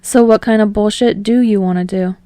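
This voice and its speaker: A woman voicing a friendly, earnest young man in an audiobook story, speaking in a casual, gently coaxing way. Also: mid-range voice, fairly even intonation, brisk, no room sound, lightly acted. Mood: careful, casual.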